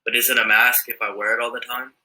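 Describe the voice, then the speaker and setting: A teenage boy recites spoken word poetry with a steady, declaiming delivery. His tone is very bright, and the room adds an audible echo. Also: medium pitch, fast. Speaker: student poet. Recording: echoey room.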